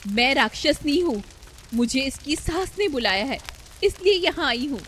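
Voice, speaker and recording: A woman's voice: sits high, expressive, moderate pace, long reverb tail, a little hiss or hum, voice pushed up. A woman voicing a defiant, scheming young daughter-in-law in a cartoon story. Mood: fierce. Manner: sharp, shouting.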